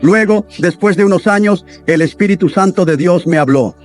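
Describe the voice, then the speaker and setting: A man delivering a sermon as a voice-over, in an earnest, steady preaching manner. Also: high-pitched, moderate pace, faint music behind.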